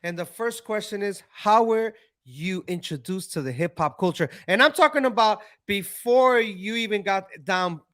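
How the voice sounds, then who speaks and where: A male host with a high, bright voice asks questions with eager curiosity. He keeps the conversation moving with quick follow-ups. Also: lively intonation. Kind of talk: casual interview.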